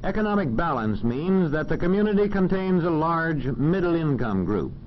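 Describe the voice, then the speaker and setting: High-pitched, lively American male narrator. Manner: formal, measured, didactic. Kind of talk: 1940s educational film narration. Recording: dull, faintly noisy old film soundtrack.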